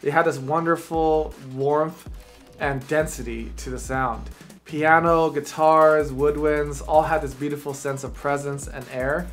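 A man's voice: on the high side, fairly even intonation, unhurried. A man in his forties presenting a scripted review to camera with an earnest, enthusiastic manner. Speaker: audio reviewer and video host. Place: small dark indoor studio, seated. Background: background music.

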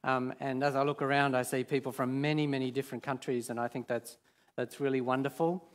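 A man in his fifties, born in Sweden with Swedish as his first language, preaching on a large church stage in a relaxed, hesitant way full of fillers. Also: mid-range voice, brisk. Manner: hesitant, many fillers and restarts. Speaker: guest preacher. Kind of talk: informal sermon.

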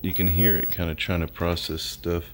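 A man's voice: deep voice, level tone, moderate pace. An American man giving casual, folksy vlog commentary as the host of his own video. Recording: clear background noise.

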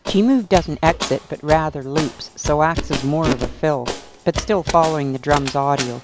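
Low-voiced woman narrating over drum loops. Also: normal speed. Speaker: demonstrator. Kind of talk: scripted instructional narration. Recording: loud drum loop music behind. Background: drum loops and glitchy synth effects.

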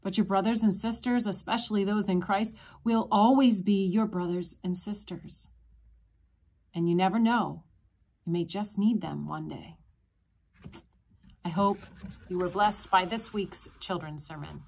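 A woman in her thirties, a pastor, telling a children's sermon in a gentle, simple storytelling way, recorded on a phone in a small room. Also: medium pitch, steady tone, unhurried, husky. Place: small indoor room with a block wall. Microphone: phone camera at arm's length.

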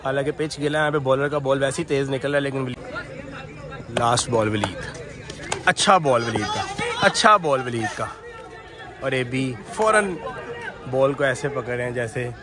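A man commentating live on an amateur cricket match at an outdoor floodlit ground, remarking on the wet pitch and the bowling. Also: normal speed, pauses often. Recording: crowd noise from an outdoor ground. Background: players and crowd chatter.

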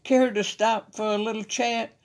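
A woman's voice: on the high side, steady tone, moderate pace, gravelly, a little mellow, voice pushed up. A woman with a Southern American accent voicing a sly, greedy, sweet-talking male wolf from a storybook. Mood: smooth, coaxing.

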